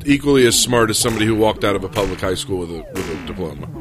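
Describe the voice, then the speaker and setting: A man in a casual debate among friends, making his case earnestly and haltingly as he thinks aloud. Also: on the low side, expressive.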